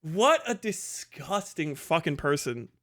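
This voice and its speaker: High-pitched sardonic man, bright voice. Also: expressive, slow pace. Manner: sardonic, mocking. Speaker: streamer host reacting to clips. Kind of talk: casual profane commentary with acted-out quotes.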